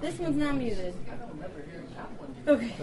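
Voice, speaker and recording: High-voiced woman in a loud crowded room. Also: expressive, slow, over a noisy crowd. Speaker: event organizer running the audio. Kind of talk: casual chat, checking the sound setup. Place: restaurant room at a club luncheon.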